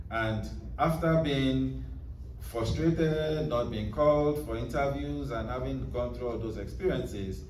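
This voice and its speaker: Man, rambling casual talk, mid pitch, faint room noise, recorded from a distance. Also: darkish tone. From Nigeria. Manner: rambling, many fillers and restarts. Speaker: author at a book presentation. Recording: roomy hall, distant mic, faint noise.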